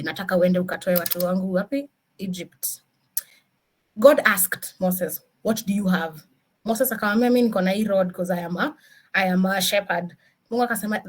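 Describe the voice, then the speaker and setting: A woman with a Kenyan accent giving an informal motivational career talk as a senior engineer, mixing in Swahili with animated, emphatic rhetorical questions. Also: mid-range voice, poor audio. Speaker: senior DevOps engineer, guest speaker.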